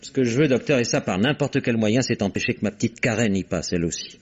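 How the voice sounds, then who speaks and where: A cynical, arrogant father in a vintage radio play, speaking in an abrupt, sardonic way at a middle pitch. The recording is muffled and dull, like old broadcast tape. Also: lively intonation, quick pace, slightly rough. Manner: sardonic, abrupt, self-assured. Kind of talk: 1960s French radio drama acting.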